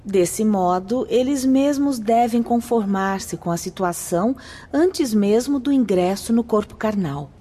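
Solemn, mellow spirit sister. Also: female voice, mid-range voice, a little slow, breathy edge. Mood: solemn, instructive. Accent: Brazilian. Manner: formal, solemn, explanatory. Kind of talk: radio drama acting, didactic.

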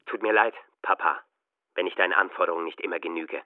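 Low-voiced grown son on tinny line. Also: male voice, fairly even intonation, fast talker. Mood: resigned, apologetic. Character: Trotsky's subdued, apologetic grown son. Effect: radio or phone filter. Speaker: radio play actor. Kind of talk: German radio drama acting. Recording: tinny, narrow phone-like line.

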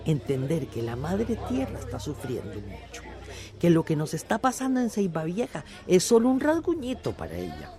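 A woman's voice: low-pitched, huge pitch swings, normal speed, crowd noise. A woman playing a wise, earnest elderly villager in a radio drama, speaking reflectively. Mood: earnest, sorrowful.

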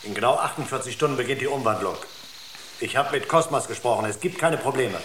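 A man's voice: medium pitch, quick pace, fairly bright tone, a little hiss or hum, lightly acted. A man playing a stern, worried member of a space expedition in a German radio drama, urgent and sceptical.

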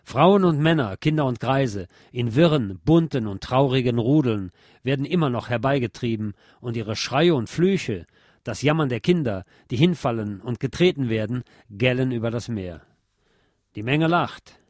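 A man reads literary prose aloud in an expressive, emphatic way, at a middle pitch. The recording is dry and close, with no room around the voice. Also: normal speed, dry recording. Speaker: reader of a literary text. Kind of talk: dramatic literary reading.

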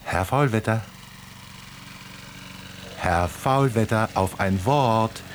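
A man's voice: lowish voice, slow pace, slight background noise. A man acting a sardonic, grumbling East German drinking buddy in a radio play, dry, laconic and mocking. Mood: tipsy mocking.

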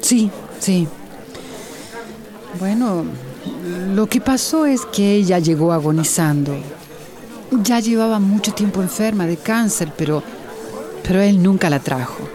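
An actress playing a troubled, defensive woman doctor in a radio drama, with a halting way of talking. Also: medium pitch, expressive, a crowd in the background, lightly acted. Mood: troubled, hesitant. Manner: halting, hesitant, repeats words.

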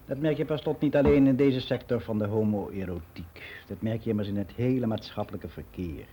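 Mellow-voiced, candid older man. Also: lowish voice, breathy edge. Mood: bitter, indignant. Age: 60s. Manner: frank, reflective, halting with self-corrections. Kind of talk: confessional monologue in a radio play.

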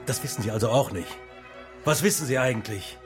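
A man's voice: medium pitch, brisk, natural voice. An actor playing a bitter, hostile middle-aged father who accuses and rants at the police, with music playing underneath. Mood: outraged. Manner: accusing, rising into outraged shouting.

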